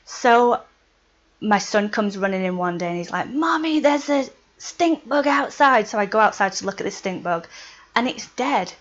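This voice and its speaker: A woman's voice: mid-range voice, expressive, normal speed. A woman from Yorkshire with a Yorkshire accent, explaining a spell in a casual how-to vlog, chatty and often laughing between points. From Yorkshire, England. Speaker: witchcraft vlogger. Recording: slightly dull lo-fi sound.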